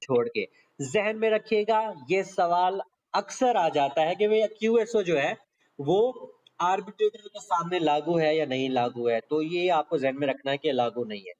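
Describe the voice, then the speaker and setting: High-pitched Pakistani man giving a law lecture. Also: moderate pace, darkish tone. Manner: explains step by step with repetition and frequent check-in tags. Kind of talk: conversational exam-prep lecture, explaining legal text. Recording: dull, lo-fi lecture audio.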